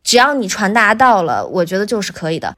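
A woman with a thin, bright, lively voice, talking casually as a voice actor guest on a podcast, explaining things in an animated way. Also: mid-range voice, brisk.

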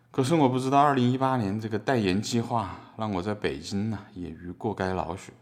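Male, lowish voice in reverberant room, self-deprecating ironic artist performed by an actor, satire drama. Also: lightly acted. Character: self-mocking, forlorn male artist confessing in court. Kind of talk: scripted satirical monologue in a podcast drama.

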